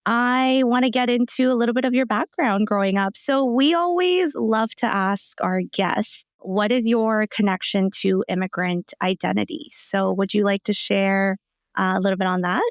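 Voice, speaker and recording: A woman's voice: medium pitch, moderate pace, smooth, dry recording, clean studio sound. A young American woman hosting a podcast interview in a casual, slangy, affirming way, asking questions conversationally. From Texas, United States.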